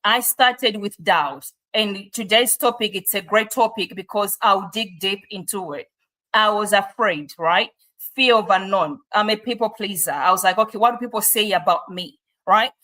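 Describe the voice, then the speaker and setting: A woman's voice: medium pitch, measured pace, bright, forceful tone. A woman with a Malawian accent telling her personal story as a guest, emphatic and checking in with rhetorical questions. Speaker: guest, business coach.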